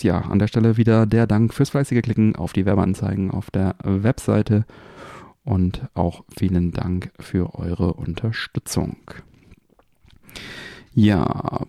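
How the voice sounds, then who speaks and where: Guy hosting a podcast, relaxed low voice, chatty, very dry recording. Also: creaky, no echo. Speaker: podcast host. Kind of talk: casual chat.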